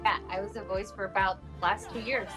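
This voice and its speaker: Woman chatting over clear background music. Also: mid-range voice, steady tone, brisk. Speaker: bilingual voice actor being interviewed. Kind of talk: casual interview chat.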